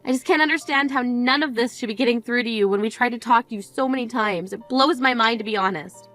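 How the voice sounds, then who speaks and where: A high-voiced, blunt sister in mourning, played by a woman, scolding her sibling with cutting disbelief. Her tone stays sharp and exasperated, over faint background music. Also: fast talker, close to an everyday voice. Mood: reproachful. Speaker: sister at a funeral. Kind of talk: scripted dramatic dialogue.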